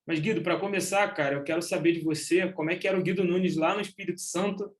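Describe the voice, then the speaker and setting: A man's voice: high voice, steady tone, quick pace. A man with a Brazilian accent hosting a podcast, introducing a guest and asking questions conversationally. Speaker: podcast host, interviewer.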